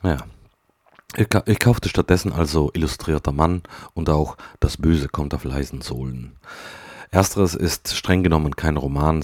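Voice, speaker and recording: A man with a very low, husky voice talks through his thoughts like a spoken diary. He speaks in measured, reflective sentences that fade softly at the ends. The recording is close and dry, with no room sound. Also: no echo. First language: German. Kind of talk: diary-style personal monologue.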